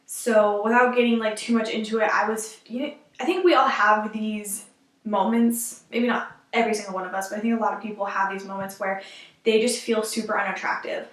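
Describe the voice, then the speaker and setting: A woman's voice: mid-range voice, fairly even intonation, brisk. A young woman vlogging at home in casual chat, rambling with lots of "like" and fillers, a little sniffly from allergies. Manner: rambling, filler-heavy, frequent "like". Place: room at home. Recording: echoey room, camera a bit far.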